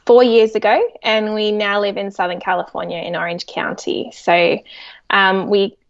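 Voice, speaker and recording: A woman's voice: medium pitch, little pitch movement, normal speed, muffled recording. An Australian woman in her thirties from Sydney, a guest chatting casually in an interview, with lots of "so" and "uh". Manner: chatty, laughs easily, fillers like "uh" and "so".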